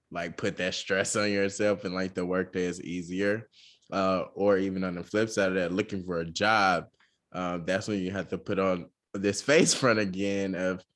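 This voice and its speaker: A young man co-hosting a podcast, talking casually with lots of fillers and a monotone delivery. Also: low voice. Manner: relaxed, many fillers, says he talks monotone. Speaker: podcast co-host.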